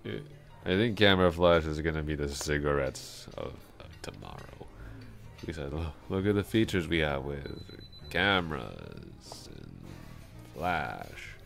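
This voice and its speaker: A man playing a drunk, awkwardly smitten office coworker in an intimate ASMR roleplay, rambling, hesitant and trailing off in a slurred, gravelly voice. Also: a bass voice, big pitch swings, measured pace, a bit bright, soft background music, exaggerated voice. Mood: drunken rambling.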